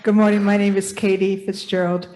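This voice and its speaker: An older woman in a church service, calmly asking the congregation for prayers. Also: mid-range voice, a little fast, noisy background. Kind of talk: sharing a prayer request. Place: church sanctuary.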